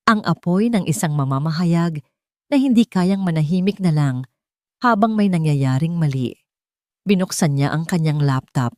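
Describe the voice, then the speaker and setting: A woman with a Filipino accent narrates a scripted romantic drama as an audiobook. Her voice sits a bit low for a woman. The recording is very dry, with no room sound around her. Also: lively intonation, moderate pace, mellow, dry recording.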